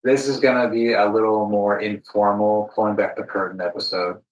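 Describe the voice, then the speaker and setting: A man's voice: lowish voice, little pitch movement, normal speed. An American man hosting an informal podcast conversation in a self-deprecating, jokey way. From Virginia, United States. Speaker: podcast host. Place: alternative studio space, indoors. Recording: echoey room.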